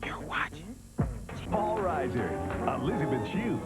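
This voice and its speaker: A man's voice: a little high, sing-song, a little slow. A man announcing television movie promos in a trailer voice-over style. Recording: loud music behind the voice. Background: loud music.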